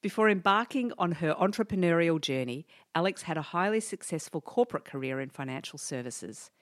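A woman's voice: fairly low pitch, animated, fairly quick, dry recording, studio quality. An Australian woman hosting a podcast interview, bubbly and enthusiastic. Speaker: podcast host.

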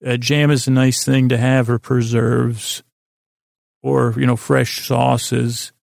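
An American man hosting a podcast in a rambling, halting bedtime monologue, meandering through tangents and repeating himself in a creaky voice. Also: mid-range voice, level tone, darkish tone, dry recording, clean studio sound. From United States. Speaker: podcast host.

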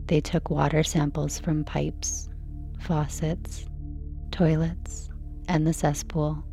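A woman reading a scripted sleep story in a slow, soothing narration style. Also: deep voice, little pitch movement, creaky, dark tone, faint music behind.

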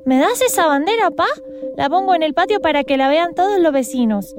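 A high-voiced Argentine daughter. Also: female voice, lively intonation, noisy background. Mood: affectionate. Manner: affectionate, brisk, reassuring. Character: young Argentine daughter, warm and practical. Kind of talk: audio drama acting.

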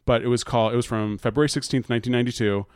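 A man with an American accent talking casually as a podcast co-host, halting and often restarting his sentences as he recounts things. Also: mid-range voice, steady tone, clean tone, no echo, clean studio sound. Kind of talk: casual conversational recounting of an article.

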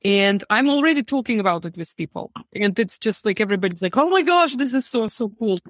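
A woman's voice: medium pitch, lively intonation, fairly quick, no room sound, studio quality. A woman hosting and moderating a panel, speaking enthusiastically.

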